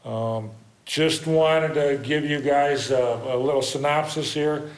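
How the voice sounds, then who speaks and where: A man speaks at the lectern microphone during a township meeting. He is plainspoken and halting and leans on fillers. The hall sounds roomy and echoing. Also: a little high, steady tone. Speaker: public commenter, fishing club president. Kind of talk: public comment at a meeting.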